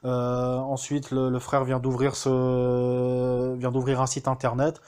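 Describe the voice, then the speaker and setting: Un homme français qui témoigne de manière spontanée, en hésitant souvent et en reprenant ses phrases. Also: male voice, mid-range voice, completely flat delivery, slow pace, a little mellow, clean tone. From France. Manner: hesitant, many fillers and restarts. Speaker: student giving a testimonial. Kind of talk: casual spoken testimonial.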